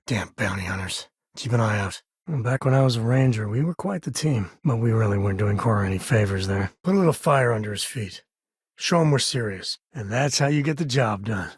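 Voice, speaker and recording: An actor playing a wry, laid-back former ranger and space explorer in a video game, a husky man talking in a folksy, conversational way. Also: mid-range voice, no echo, clean studio sound. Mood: alert, steady. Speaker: video game companion character. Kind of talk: video game voice acting, casual dialogue.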